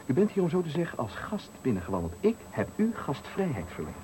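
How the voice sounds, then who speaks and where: An actor in a Dutch radio play playing a taunting, menacing stranger who speaks with deliberate, needling politeness in a Netherlands accent. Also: male voice, fairly high pitch, huge pitch swings. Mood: mock-polite menace. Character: menacing, taunting male stranger cornering a man at night. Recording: faintly noisy 1980 radio recording.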